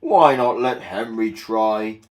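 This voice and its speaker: A woman voicing a proud, rude big male express engine in a children's storybook, boastful and quick to complain. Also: a bass voice. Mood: scornful.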